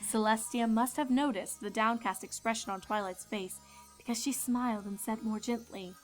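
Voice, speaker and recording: A woman narrates a piece of fan fiction in storybook fashion. Her voice is on the high side. Faint music plays behind the reading. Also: steady tone, normal speed, low-quality recording.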